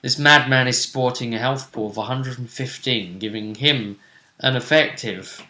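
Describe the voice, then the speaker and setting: A bright-voiced man talks through a tabletop game fight, wry and chatty. His voice rings in an echoey room. He sounds relaxed, joking as he goes. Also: medium pitch, moderate pace. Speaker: game master. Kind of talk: recapping a tabletop game session.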